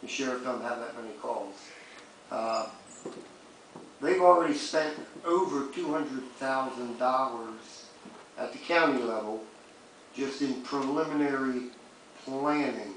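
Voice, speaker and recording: A man's voice: medium pitch, measured pace, long pauses, fairly bright tone. A man serving as a city commissioner makes blunt, plain-spoken clarifying remarks at a public meeting. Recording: very echoey room, distant mic, faint noise.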